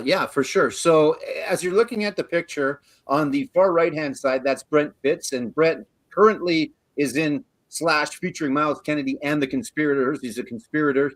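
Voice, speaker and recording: A Canadian man hosting a show, chatting casually in a relaxed, rambling way. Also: on the high side, moderate pace, few pauses, no echo. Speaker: show host. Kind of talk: casual chat.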